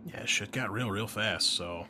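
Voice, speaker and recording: A man's voice: on the low side, a little slow, noisy background. A man hosting a tabletop game as game master, chatting casually and teasing the players. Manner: jokey, teasing.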